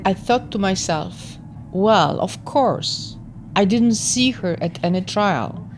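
A woman's voice: medium pitch, lively intonation, slow pace. A woman reading a translated first-person testimony as scripted voice-over narration. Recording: clear noise behind the voice. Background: background noise.

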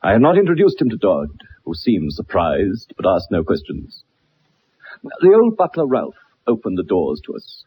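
An actor playing a keen, self-assured gentleman detective with precise, formal speech in a 1960s radio drama. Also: sits low, wildly swooping pitch, normal speed, breathy edge, exaggerated voice. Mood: measured, narrating. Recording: muffled old radio recording.

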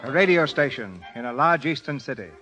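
A man's voice: medium pitch, animated, fairly quick, close to an everyday voice. A man announcing and narrating a 1930s radio drama in a formal, dramatic manner. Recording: vintage 1930s radio recording, music behind. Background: music.

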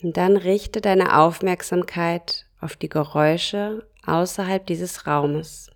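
A woman leads a guided yoga nidra meditation in a soft, calm voice. She speaks very slowly, leaving long pauses between short phrases, in a fairly low register.

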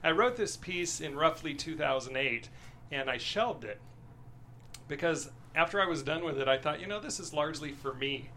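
A man, a screenwriter, talking earnestly and emphatically in a casual panel discussion, with halting restarts. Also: fairly high pitch, expressive, sharp tone, a little hiss or hum.

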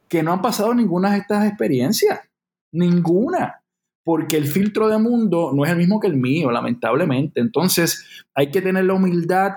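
A man's voice: on the high side, a little mellow. A man in his forties from Puerto Rico with a Puerto Rican accent, speaking as an interview guest in an earnest, reflective way, thinking aloud with restarts. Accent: Puerto Rican Spanish. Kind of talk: conversational interview.

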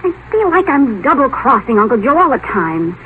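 A woman's voice: sits high, big pitch swings, normal speed, narrow, tinny audio. An actress playing a torn, indignant young woman in a 1950s radio drama, plaintive and protesting. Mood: petulant, protesting. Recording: faintly hissy 1950s radio broadcast.

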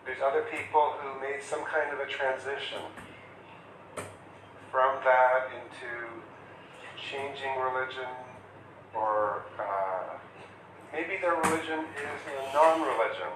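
A man's voice: medium pitch, steady tone, a little slow, background noise. Man, instructor, conversational lecture, roomy hall echo, distant mic. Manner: conversational, acts out client questions. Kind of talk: classroom lecture.

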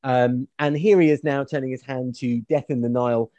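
A man's voice: mid-range voice, steady tone, moderate pace, warm tone, dry recording, highs cut off. Erudite man, very dry recording. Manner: erudite, opinionated. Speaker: podcast co-host, historian. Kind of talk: casual critical discussion.

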